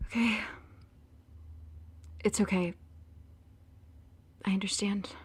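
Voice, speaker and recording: A woman's voice: mid-range voice, fairly even intonation, takes it slowly, husky. A young woman acting in an audio drama as a heartbroken, emotional girlfriend pleading with her boyfriend. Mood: resigned, hurt.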